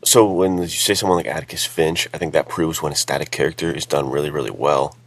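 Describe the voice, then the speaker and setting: A man chatting casually as a podcast co-host, with a gravelly voice. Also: rumbling low, fairly even intonation, quick pace. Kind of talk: casual chat. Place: outdoors while on vacation. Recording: music behind, rough sound quality.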